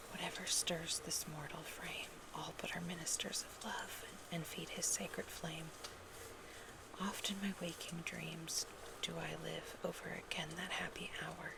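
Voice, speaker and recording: This is a hushed, whispering voice with a very crackly, creaky edge. It reads love poems in an ASMR roleplay style, softly and intimately. The phrases are unhurried and breathy, at a medium pitch. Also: level tone. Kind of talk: whispered ASMR poetry reading.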